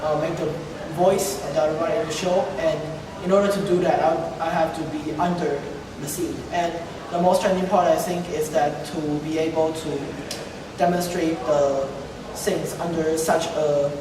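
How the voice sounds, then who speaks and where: Young man, halting delivery, informal interview, strong echo, noisy background. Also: fairly high pitch, steady tone. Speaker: student puppeteer and interviewer. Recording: very echoey, distant, noisy room.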